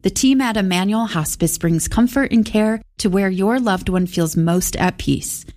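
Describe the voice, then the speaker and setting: A woman reading a scripted ad in a soft, gentle manner. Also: mid-range voice, a little slow. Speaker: ad announcer. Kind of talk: scripted ad read.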